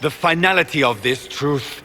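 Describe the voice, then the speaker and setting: An actor playing a haughty, ambitious grand duke turned emperor in a fantasy game, with an imperious, declamatory manner. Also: male voice, a little high, moderate pace, noisy background, close to an everyday voice. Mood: grand, rallying. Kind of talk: dramatic fantasy game acting.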